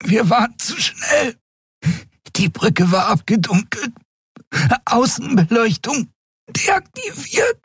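A man's voice: fairly high pitch, animated, normal speed, pauses often, no room sound, voice pushed up, close to an everyday voice. A man voicing a frightened yet defiant young woman in an audiobook, gasping and strained. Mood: choked pleading. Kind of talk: dramatic audiobook character voice.

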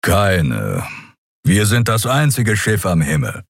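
A man voicing a maned beast-man at the helm, speaking in a low, grumbling, calm way. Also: slow, no echo, clean studio sound, full character voice. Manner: gruff, grumbling.

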